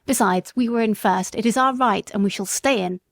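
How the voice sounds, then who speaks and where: A woman reading a pushy, rude gentleman. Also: mid-range voice, brisk, no echo, lightly acted. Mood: dismissive.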